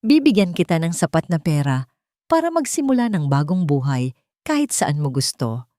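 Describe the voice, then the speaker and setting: A woman's voice: low voice, big pitch swings, moderate pace, dark tone, no room sound. A dry, close recording of a ruthless crime boss, played by a woman storyteller. The delivery is controlled and menacing, every statement laid down as a merciless command in a dramatic audiobook. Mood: cold, businesslike.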